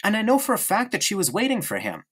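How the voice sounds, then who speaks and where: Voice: mid-range voice, huge pitch swings, fast, fairly bright tone, slightly rough, pitched above the performer's own voice, full character voice. A narrator voicing a stubborn, chatty young boy in an audiobook, speaking eagerly and insistently. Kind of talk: audiobook character reading.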